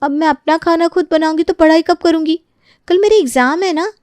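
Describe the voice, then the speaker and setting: A woman's voice: very high voice, fairly quick, darkish tone, dry recording, voice pushed up. A woman playing a demanding young student sister-in-law in an audio story, complaining and entitled.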